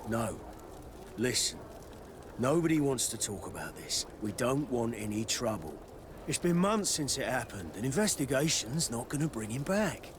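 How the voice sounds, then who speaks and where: A man's voice: medium pitch, sing-song, normal speed, background noise, close to an everyday voice. An actor playing a guarded, serious townsman in a video game cutscene. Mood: guarded, uneasy.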